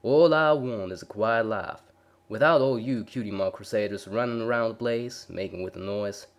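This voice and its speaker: A big male farm pony, voiced by a man, talks. He stays placid and pleasant with a quiet menace underneath, as in a dark storybook tale. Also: lowish voice, moderate pace, close to an everyday voice. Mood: calm menace. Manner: placid, folksy, pleasant while threatening.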